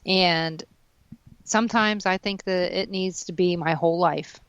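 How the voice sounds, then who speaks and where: A woman's voice: on the low side, steady tone, a little slow, clear, resonant tone, no echo, highs cut off. A woman in her mid-fifties, talkative and self-deprecating, chatting casually as a radio co-host. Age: 50s. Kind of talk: casual chat.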